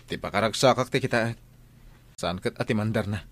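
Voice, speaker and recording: Man playing a determined young Filipino gentleman, music behind. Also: on the low side, brisk, lightly acted. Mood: earnest. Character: determined young gentleman son of a wealthy madam. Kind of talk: Ilocano radio comedy-drama acting. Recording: radio drama with music behind.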